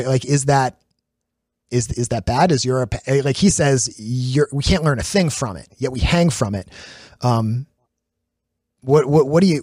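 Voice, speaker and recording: A middle-aged man, hosting a relaxed conversation about faith. He speaks reflectively, circling back and restarting as he thinks, in a voice of middling pitch. The sound is close and dry. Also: no echo. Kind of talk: casual discussion.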